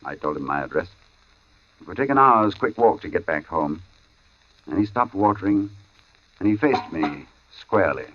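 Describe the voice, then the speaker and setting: An actor playing a solemn artist who narrates an eerie tale in a measured, dramatic old-radio style. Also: male voice, deep voice, expressive, dry recording. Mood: uneasy. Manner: measured, solemn, dramatic delivery with long pauses. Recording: dull old radio recording with music.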